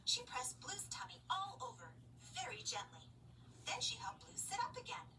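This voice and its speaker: A woman reads a children's story aloud with expression. Her pitch is very high and moves in a lively way. She is recorded some way from the microphone, with clear background noise. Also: moderate pace. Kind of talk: expressive read-aloud of a children's picture book. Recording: noisy, distant home microphone.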